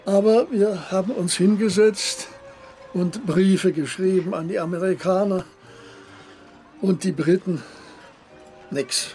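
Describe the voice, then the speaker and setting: Courteous older emigrant gentleman, high-pitched voice. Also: slow pace, long pauses, faint music behind. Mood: grave, hopeful. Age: 60s. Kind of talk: radio drama acting.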